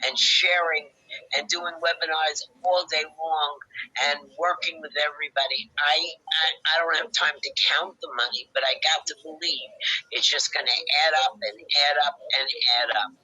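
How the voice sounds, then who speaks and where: A woman's voice: sits low, fairly even intonation, normal speed, pushed and bright, husky, muffled recording. A woman who leads a group, speaking with enthusiasm on a video call. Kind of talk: casual meeting talk.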